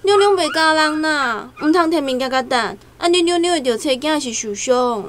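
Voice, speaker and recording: Radio drama protective daughter-in-law, Taiwanese accent: high-pitched, swooping, pleading. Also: female voice, animated, normal speed, heavy background noise. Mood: alarmed, fussing. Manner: pleading, fussing. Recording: loud music over the voice.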